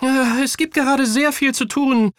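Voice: sits high, fairly even intonation, measured pace, dry recording, clean studio sound, voice pushed up. A storyteller voicing a friendly, eager schoolboy in a children's audiobook. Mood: excited, friendly. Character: Kaya, friendly eager schoolboy with bright excited voice.

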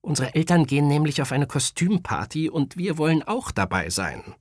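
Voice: mid-range voice, big pitch swings, moderate pace, dry recording, clean studio sound, pitched above the performer's own voice. A storyteller voicing an earnest, plucky small schoolboy in a children's audiobook.

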